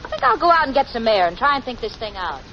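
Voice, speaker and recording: A woman's voice: a little high, very animated, fast talker. An actress with an American accent playing a sensible, sarcastic young woman in a 1940s radio sitcom, wry and exasperated. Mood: sardonic resignation. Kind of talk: 1940s radio sitcom acting, narration and dialogue. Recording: muffled 1940s radio recording, studio audience, hiss.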